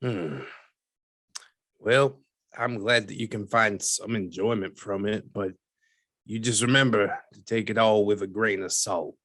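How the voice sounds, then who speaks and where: A man acts out a folksy newspaperman being interviewed in a podcast drama. His voice sits on the low side and is recorded very dry. He speaks in measured phrases, wryly dismissive and a little condescending. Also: steady tone, fairly bright tone, dry recording. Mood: wry skepticism.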